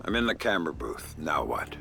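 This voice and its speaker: A very low-voiced, gravelly renegade agent in a game cutscene. He is terse, deadpan and weary, speaking in a defiant growl under prominent music. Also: fairly quick, heavily acted. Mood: terse, dry. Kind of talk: video game cutscene acting.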